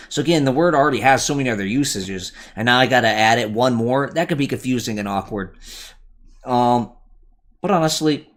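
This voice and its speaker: A man talks through his thoughts on a live stream in a loose, unscripted way. He restarts his sentences and corrects himself as he goes, the way a channel host does when thinking out loud. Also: mid-range voice. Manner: thinking aloud, self-correcting, rambling. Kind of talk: casual live commentary.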